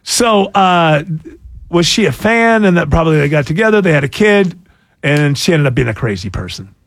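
A man's voice: a little high, very animated, normal speed, no echo. A man in his sixties hosting a casual talk show, joking sarcastically and laughing often. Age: 60s. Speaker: radio show host. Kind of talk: casual chat.

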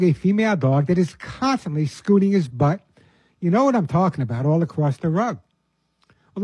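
A man's voice: on the high side, dark tone, breathy edge, no echo, poor audio. An older man from New York, a pet behaviorist hosting a casual advice show, talking in a chatty way and often repeating words. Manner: chatty, repeats words, answers listener emails.

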